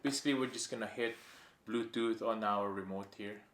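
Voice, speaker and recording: A man's voice: fairly low pitch, fairly even intonation, brisk, a bit bright. A man narrating a casual hands-on product demo, pointing things out piece by piece in short phrases. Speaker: product reviewer.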